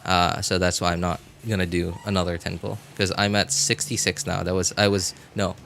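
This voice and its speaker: A man chatting casually as he streams a game, rambling and halting as he hunts for words in a self-deprecating way. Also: sits low, level tone, gravelly, a bit bright, slight background noise. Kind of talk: casual livestream chat while gaming.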